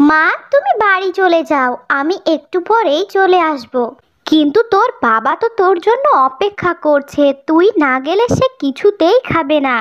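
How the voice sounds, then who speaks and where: Woman voicing very high-pitched, girlish cartoon family figures. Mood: caring. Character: village mother and her sweet young daughter. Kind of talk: cartoon fairy tale voice acting. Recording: dull lo-fi sound.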